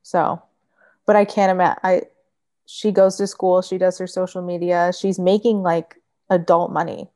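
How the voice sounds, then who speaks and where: Woman in her late 20s, slightly lower voice, laughs a lot and gets all animated telling stories. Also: normal speed. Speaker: guest food blogger. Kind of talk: casual chat.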